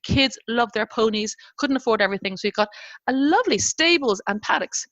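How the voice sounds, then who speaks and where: A woman's voice: on the high side, brisk, dry recording, dull audio. Laughing Irish woman in her fifties. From Ireland. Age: 50s. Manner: animated, laughs often while telling her story. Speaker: guest. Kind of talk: casual interview storytelling.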